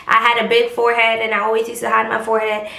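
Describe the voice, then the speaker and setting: A young woman in her twenties from New York chats frankly and slangily straight to her viewers. Her voice is high and squeaky, with a sharp, bright edge. It rings with the echo of a bare room. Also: completely flat delivery, rapid-fire, gravelly. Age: 20s. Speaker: vlogger. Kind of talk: casual talk to camera.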